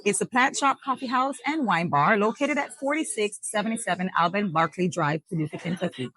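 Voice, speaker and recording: An upbeat woman hosts an unscripted talk show, her voice slightly husky. She talks with lively enthusiasm, recorded in a coffee shop. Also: mid-range voice, brisk, no room sound, low-quality recording. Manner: upbeat, enthusiastic, warm promoter. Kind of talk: casual interview chat.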